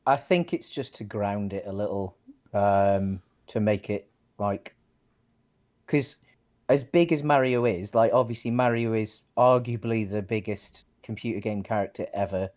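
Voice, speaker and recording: A man co-hosting a casual film discussion, speaking in a tentative, measured conversational way. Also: on the low side, a little mellow. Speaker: co-host of a film discussion show. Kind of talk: casual chat.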